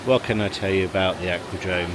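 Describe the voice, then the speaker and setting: Low-voiced man vlogging casually. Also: fairly even intonation, fast. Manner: rambling, chatty, chuckles between remarks. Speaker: family vlogger. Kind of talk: casual vlog chat to camera. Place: outdoors in a car park, then at a leisure pool. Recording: handheld vlog with loud background noise.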